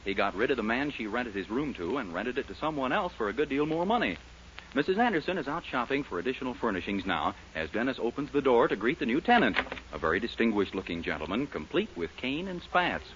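A man announces a 1940s radio show in scripted narration. His mid-pitched voice moves with lively intonation. The old recording is muffled and very noisy. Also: fast talker.

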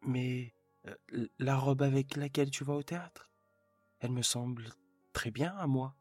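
A man's voice: mid-range voice, fairly even intonation, a little slow, dry recording, faint music behind, pitched above the performer's own voice, lightly acted. A man voicing an anxious, eager husband who is a modest clerk, talking in a raised, pleading way.

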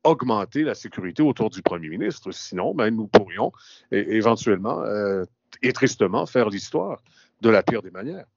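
A man from Canada, a veteran broadcast journalist, speaking in a measured, deliberate way as an interview guest. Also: fairly low pitch, very animated, vocal fry, no room sound. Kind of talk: interview talk. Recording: dull, dry lo-fi sound.

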